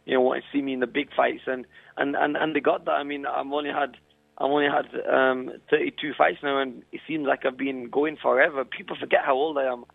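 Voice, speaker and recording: A man in his twenties, a professional boxer, answering interview questions over the phone in a halting way, often saying "you know" and "I mean.". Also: medium pitch, level tone, creaky, dry recording. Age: 20s. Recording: tinny dry phone line.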